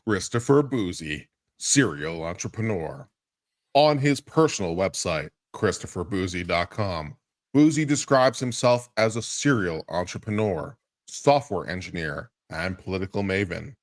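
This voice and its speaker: A man's voice: deep voice, expressive, unhurried. A man giving a dramatic, mock-grand reading of a lawsuit. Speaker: guest commentator.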